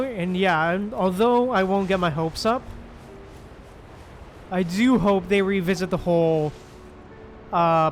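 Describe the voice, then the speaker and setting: A man chatting casually as he livestreams a game, with hesitant, drawn-out phrasing. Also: high-pitched. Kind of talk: casual livestream chat while playing. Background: game music.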